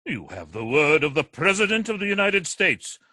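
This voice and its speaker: A man's voice: a little high, very animated, moderate pace, sharp tone, a little hiss or hum. An actor playing a deluded, bombastic nephew who believes he is President, declaiming pompously in a radio play. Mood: pompous, grave.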